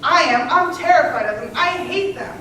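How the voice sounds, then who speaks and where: A woman's voice: high-pitched, moderate pace, pushed and bright. A woman playing a questioning, argumentative newborn baby in a stage comedy at a readers theater. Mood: frightened. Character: contrary, questioning newborn baby, easily alarmed. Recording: echoey hall, far mic, clear noise.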